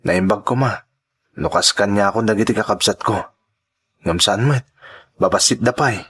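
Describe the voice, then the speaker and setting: A man's voice: lowish voice, moderate pace, a bit bright, slightly rough. Man acting earnest young Ilocano son. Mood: earnest, determined. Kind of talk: radio drama acting.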